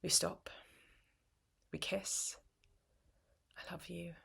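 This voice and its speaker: Young woman, deep gravelly voice, for intimate dramatic spoken word. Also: little pitch movement, very slow, sharp tone, whispered. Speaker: poetry performer.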